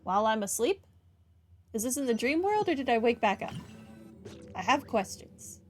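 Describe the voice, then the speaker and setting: A woman gives casual video game commentary in a fairly high voice. Her delivery is wry and sarcastic, with game music faintly behind her. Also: sing-song, moderate pace. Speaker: video game playthrough host. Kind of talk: casual game commentary, reading dialogue aloud.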